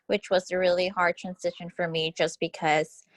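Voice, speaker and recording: A woman's voice: lowish voice, level tone, moderate pace, dry recording, clean studio sound. A young woman from Houston whose first language is Spanish, answering interview questions in an earnest, reflective way with small restarts. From Houston, Texas.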